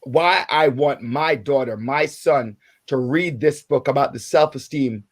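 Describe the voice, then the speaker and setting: A Jamaican man in his fifties hosts a radio talk show. His voice is mid-pitched. He speaks haltingly and restarts often while he works through his questions. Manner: halting, many restarts and filler words. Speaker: radio host.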